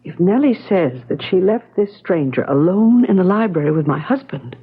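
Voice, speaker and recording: A woman's voice: lowish voice, lively intonation, normal speed, husky. An actress playing an anxious, insistent wife of a missing man in a radio mystery, strained and questioning. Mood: indignant, worried. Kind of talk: radio mystery drama acting. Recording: dull old radio broadcast.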